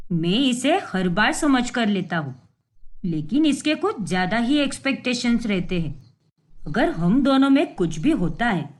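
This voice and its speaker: A woman voicing a frustrated young husband set on divorce, blunt and defensive. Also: a little high.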